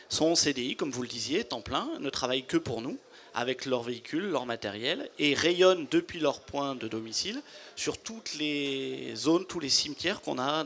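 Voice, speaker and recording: A man in his forties, a company founder, explaining his business in a measured way into a handheld mic at a trade fair studio booth. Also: medium pitch, gravelly, a bit bright.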